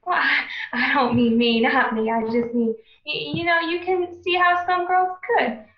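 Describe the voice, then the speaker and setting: A woman's voice: sits high, steady tone, measured pace. A woman playing a starry-eyed, flustered gangster's girlfriend in an amateur radio drama, hesitant and backtracking. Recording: roomy, dull lo-fi home connection.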